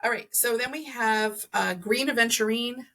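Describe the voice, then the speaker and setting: A woman's voice: a little high, moderate pace, bright, forceful tone. A woman speaking as a podcast guest, explaining things conversationally and rephrasing as she thinks aloud. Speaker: podcast guest, energy healer. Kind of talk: conversational explaining of crystals and chakras.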